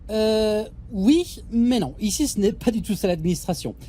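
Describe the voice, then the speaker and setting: Female space captain played by a woman, soft-toned yet authoritative, no time for nonsense, audio drama. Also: mid-range voice, lively intonation, normal speed, slight background noise, close to an everyday voice. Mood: instructive, patient. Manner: authoritative, matter-of-fact, dismissive of nonsense. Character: brisk, busy female space station captain. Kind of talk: French audio drama acting.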